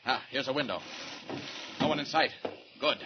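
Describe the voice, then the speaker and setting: An actor playing a calm, brave male reporter who is secretly a superhero, in 1940s radio acting. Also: medium pitch, highs cut off, close to an everyday voice. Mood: resolute. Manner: calm, reassuring, brisk. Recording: hissy vintage radio broadcast.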